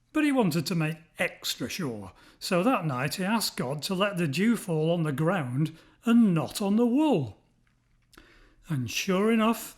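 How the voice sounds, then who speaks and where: A male storyteller narrates for children. His voice sits high for a man. He reads expressively from a script at a slow, careful pace.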